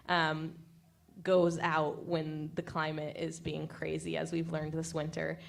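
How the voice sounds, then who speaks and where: A woman's voice: sits low, creaky. An American woman moderating a panel discussion as a radio broadcaster, talking in an unscripted way.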